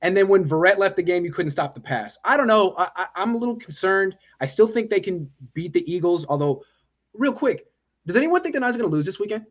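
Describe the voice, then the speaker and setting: A man's voice: high-pitched, lively intonation, quick pace. A man hosting a casual sports discussion, speaking in a pointed, questioning manner. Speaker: host of a fan conference call.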